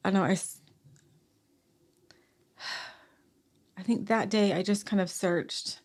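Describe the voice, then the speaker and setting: A woman in her forties talks through a personal story as a podcast guest. Her voice sits at an ordinary middle pitch, and she speaks softly and haltingly, often breaking off to sigh and start her sentences again. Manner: halting, soft-spoken, with frequent sighs and restarts.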